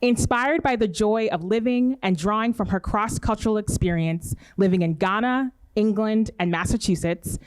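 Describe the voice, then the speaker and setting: A woman's voice: medium pitch, moderate pace, hardly any pauses, clean tone. Friendly woman host reading an introduction. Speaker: host of an arts interview series. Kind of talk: reading introductions and asking questions.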